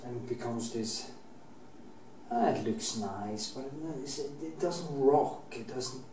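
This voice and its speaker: Norwegian-accented painter, middle-aged; rambling restarts, casual swearing; distant camera mic, faint room hiss. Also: male voice, mid-range voice, takes it slowly, echoey room. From Norway. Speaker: painting teacher. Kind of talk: painting demonstration. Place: painting studio, indoors.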